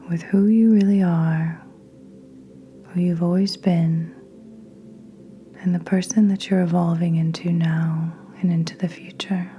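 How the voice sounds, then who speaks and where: A woman guiding a meditation in a soft, breathy near-whisper, gentle and soothing, with long unhurried pauses. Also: fairly low pitch, slight background noise. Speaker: podcast host and meditation guide. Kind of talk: guided meditation narration. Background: soft meditation music with singing bowls.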